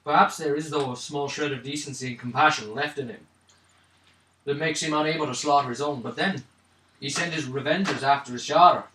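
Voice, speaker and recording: A man voicing a weary, earnest elf prisoner in a tabletop role-play, solemn and measured, in a roomy recording. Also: fairly high pitch, a bit bright, lightly acted. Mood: earnest, wary. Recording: roomy, distant mic, faint noise.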